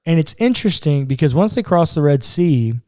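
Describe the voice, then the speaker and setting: A man's voice: on the high side, expressive, brisk, dark tone, no room sound, clean studio sound. A man co-hosting a podcast as a pastor, chatting casually about the Bible with informal, slangy asides. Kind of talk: casual conversational Bible discussion.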